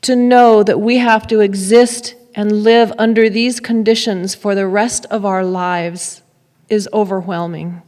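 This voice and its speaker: Slow-paced woman reading in an echoey hall. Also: medium pitch, fairly even intonation, darkish tone. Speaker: readers theater performer. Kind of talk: scripted dramatic reading of testimony. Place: temple sanctuary at a lectern. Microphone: boom mic on a stand at lectern. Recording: echoey hall with long reverb.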